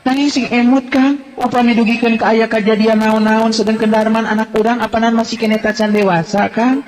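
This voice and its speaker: A male storyteller voicing a worried young village wife, pleading gently with her husband, on an old, roomy, hissy radio recording. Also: high voice, flat delivery, brisk, pitched above the performer's own voice, lightly acted. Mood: anxious.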